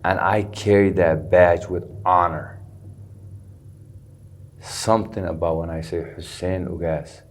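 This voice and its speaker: Deep-voiced man telling his story. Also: steady tone, a little slow, pauses often. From Somalia. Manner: earnest, candid, sprinkles Arabic phrases. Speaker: guest, recovery center founder. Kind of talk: personal storytelling interview. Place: studio.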